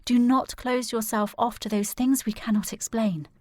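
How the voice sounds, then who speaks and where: A woman's voice: on the high side, steady tone, normal speed, a little mellow, no echo, clean studio sound, close to an everyday voice. An actress playing a resolute, well-bred young woman in a period audio drama, with a formal, poised and earnest manner. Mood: earnest, insistent.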